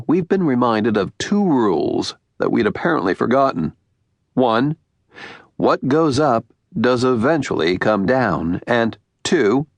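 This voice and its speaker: An American man reads scripted nonfiction in a gravelly, creaky voice of medium pitch. His phrasing is deliberate, with pauses for emphasis, and the recording is close and dry, as in an audiobook. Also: no echo. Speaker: audiobook narrator.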